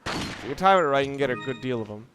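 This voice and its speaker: A man giving casual, excitable let's play commentary on a video game, with casual swearing. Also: medium pitch, quick pace, over music. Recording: clearly noisy home recording.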